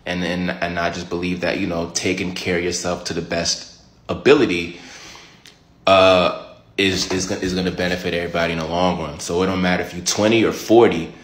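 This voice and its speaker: A man, chatting straight to his phone in an animated, profane, joking way. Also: brisk. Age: late 20s. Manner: animated, profane, jokey rants with big pitch jumps. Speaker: comedian vlogger. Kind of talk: casual direct-to-camera chat. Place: small indoor room. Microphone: phone camera at arm's length. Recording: echoey room.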